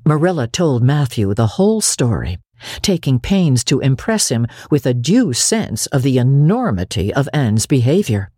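A woman's voice: extremely low pitch, sing-song, normal speed, warm tone, slightly rough, no room sound, clean studio sound. A woman narrating a classic novel as a dramatic reading. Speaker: audiobook narrator.

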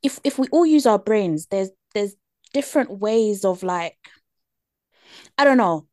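A young woman with a British accent in casual podcast chat, earnest and animated, often checking "do you know what I mean". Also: mid-range voice, measured pace, dry recording, studio quality.

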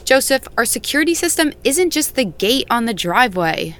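A worried co-owner of a family farm in an audio drama. Her voice is fairly high and moves a lot as she presses for answers. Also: very animated, moderate pace, a bit bright. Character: worried woman who co-runs a family farm.

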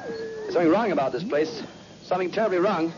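An actor playing a lone cosmonaut losing his mind in terror, halting and stammering, in 1960s radio horror style. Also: high-pitched, huge pitch swings, background noise. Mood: bewildered, frightened. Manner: halting, stammering, repeating himself. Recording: old muffled radio recording, music behind.

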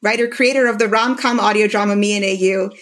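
A woman's voice: mid-range voice, fairly even intonation, fast talker. A woman hosting a podcast, introducing interview guests in a conversational, prepared way. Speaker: podcast host and writer.

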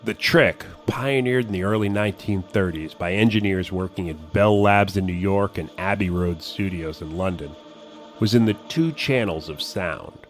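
Guy reporter reading a long feature story, lowish voice, bit of music behind him. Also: moderate pace. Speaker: narrator, reporter. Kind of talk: scripted narrative feature narration.

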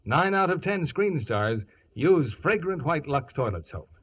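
A man works as a 1950s radio announcer, reading scripted ad patter. His mid-range voice is husky with very lively intonation, on a dull lo-fi recording. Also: on the high side, unhurried.

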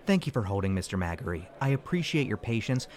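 Cocky call-centre guy, lively pitch, argumentative, self-righteous, audio drama dialogue. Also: fast talker, noisy background. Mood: polite, placating.

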